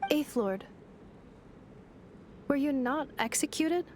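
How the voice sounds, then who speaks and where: A woman playing a polite, earnest young robot maid in a video game, speaking in a measured, formal, slightly mechanical way. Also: high voice, lively intonation, crowd noise. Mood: confused. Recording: clear music under the voice.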